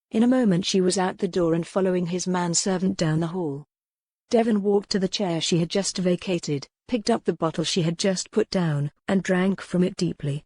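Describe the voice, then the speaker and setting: A woman's voice: on the low side, darkish tone, breathy edge, no room sound. A woman reading scripted, dramatic narration from a historical romance novel as an audiobook narrator.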